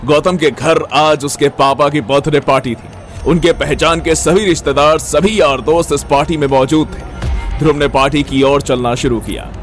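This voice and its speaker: Higher-pitched male story narrator. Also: fairly even intonation, normal speed, soft background music. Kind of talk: scripted Hindi audio story narration.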